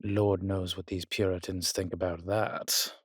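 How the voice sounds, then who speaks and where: A man's voice: low voice, level tone, a little slow, husky, dry recording, clean studio sound. A man playing an arrogant, menacing vampire warrior in an audio roleplay, haughty and theatrical, with suave affection for the listener. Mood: amused disdain. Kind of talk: dramatic roleplay monologue, addressing a listener.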